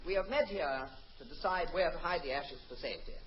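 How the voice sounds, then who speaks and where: A man's voice: on the high side, expressive, brisk, crowd noise, lightly acted. An actor playing a pompous, exasperated army colonel who declaims his lines in an old radio comedy. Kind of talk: 1950s radio comedy acting. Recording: muffled, noisy old radio recording.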